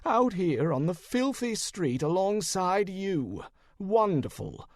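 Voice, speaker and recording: A man's voice: high-pitched, very animated, takes it slowly, dry recording, voice pushed up, heavily acted. A man voicing a fussy male wizard detective in an audiobook, precise and dry, easily exasperated.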